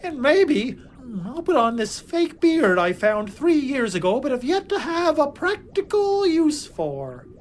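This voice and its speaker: Eager dim-witted Martian sidekick, high and bouncy, unhurried, comic audio play. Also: female voice, sing-song, darkish tone. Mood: muttering to self. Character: goofy, eager, simple-minded Martian helper. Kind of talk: comic audio drama acting.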